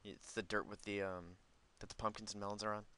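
A man's voice: fairly low pitch, level tone, fast, bright, forceful tone, slight background noise. A man giving casual, unscripted gameplay commentary, rambling as he thinks aloud. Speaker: gaming video host.

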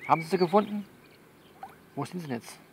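A man playing a soothing but bossy confidant who coaches someone by phone in an audio drama, halting and repeating himself as he instructs. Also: high-pitched, gravelly, background noise. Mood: bossy, coaxing. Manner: halting, repetitive, instructive, reassuring. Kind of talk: one-sided phone conversation in an audio novel.

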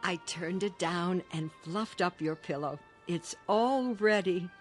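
A woman's voice: lowish voice, slow, soft background music. An actress playing an anxious, fussing mother of grown children in a radio drama.

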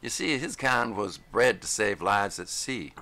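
Folksy old-timer with a slow rural drawl, deep and gravelly, put on by a male narrator. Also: expressive, pushed and bright, heavily acted. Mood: wistful. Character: folksy older man, grieving dog lover.

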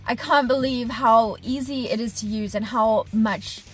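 Animated woman vlogging from her truck. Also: on the high side, unhurried, slightly rough, soft background music, poor audio. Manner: animated, expressive, gesturing with hands. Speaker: HVAC technician and business owner. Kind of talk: casual vlog diary. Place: inside a parked truck cab. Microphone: camera on dashboard at arm's length.

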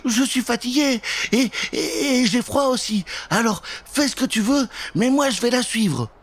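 A whiny little girl in a spooky storybook, played by a man. The voice is pitched high and urgent, frightened and impulsive. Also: lively intonation, measured pace, slightly rough, heavily acted. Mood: whiny, upset. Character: tired, frightened, pleading little girl, impulsive younger sister. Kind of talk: children's Halloween storytelling.

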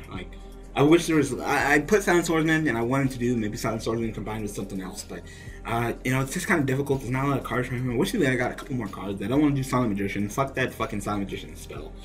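A man's voice: medium pitch, fast talker, soft background music. A young man venting over a card game he just played, swearing and laughing in exasperation as he comments. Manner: ranting, profane, laughing in exasperation.

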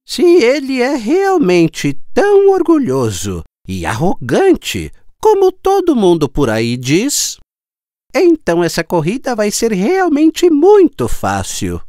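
A woman as a slow, singsong cartoon tortoise. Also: on the high side, huge pitch swings, dark tone, no echo, clean studio sound, exaggerated voice. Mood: confident, challenging. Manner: slow, deliberate, drawn-out delivery. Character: calm, confident slow tortoise challenging a hare.

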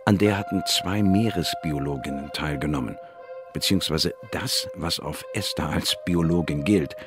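An actor playing a confident, worldly biologist in a science fiction radio drama, dry and explanatory. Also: male voice, rumbling low, normal speed. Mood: reflective narration. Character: confident, worldly male biologist and space investigator. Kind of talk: radio drama dialogue and first-person narration. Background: music.

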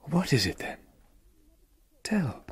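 An actor playing a charming, secretive man in a radio drama, speaking in a soft, husky, coaxing way. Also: fairly high pitch, very animated, takes it slowly, exaggerated voice. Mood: gently coaxing.

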